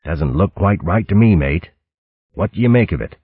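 A man voicing plain-spoken mouse fighters in a steady, matey way, on a tinny lo-fi recording. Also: sits low, normal speed, breathy edge, no room sound, heavily acted. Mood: puzzled. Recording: tinny lo-fi line.

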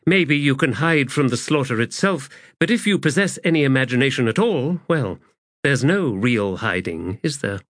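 A man performing a reflective woman in a fantasy audiobook. The voice is pitched well up, earnest and musing, with a dry, close sound. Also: expressive, moderate pace, fairly bright tone, no room sound, close to an everyday voice. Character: reflective, soft-hearted woman riding with an army.

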